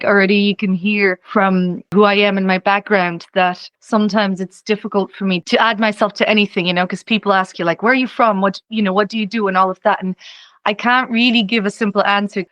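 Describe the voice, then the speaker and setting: A woman's voice: mid-range voice, fairly even intonation, brisk, a bit bright, no room sound, highs cut off. A young woman from Czechia, a singer-songwriter guest, talking casually in an interview, rambling with lots of "you know" and easy laughs.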